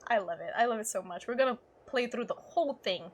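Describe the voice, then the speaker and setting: A woman's voice: on the high side, fast talker. A woman giving casual, gushing let's-play commentary as the host of a gaming channel. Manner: gushing, enthusiastic. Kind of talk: casual let's-play commentary, reading game text aloud. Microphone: close desktop microphone. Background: faint game music.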